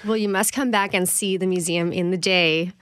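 A woman's voice: lowish voice, steady tone, normal speed, a bit bright, clear, resonant tone, dry recording, studio quality. A woman speaking as a guest from a museum in a casual, conversational radio interview. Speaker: guest, museum programming organizer.

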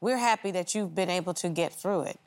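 A woman's voice: on the low side, a little fast. Firm woman judge questioning litigants. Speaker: television court judge. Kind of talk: courtroom arbitration.

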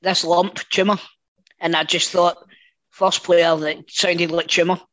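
A man's voice: high voice, level tone, measured pace, fairly bright tone. Man turning forty, sweary banter. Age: 40s. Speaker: podcast co-host. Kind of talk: casual chat.

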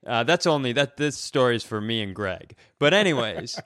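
A man's voice: mid-range voice, big pitch swings, moderate pace, fairly bright tone, no echo. Exasperated man bantering on a dry mic. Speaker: comedy podcast co-host. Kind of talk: casual comedic banter.

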